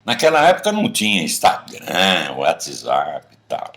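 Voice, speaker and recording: A man's voice: low voice, huge pitch swings, a little slow, fairly bright tone, heavily acted. A man with a Brazilian accent playing a middle-aged guy telling old confessions to drinking buddies in a casual radio drama. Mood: reminiscing. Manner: colloquial, storytelling. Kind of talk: radio drama acting, casual bar chat.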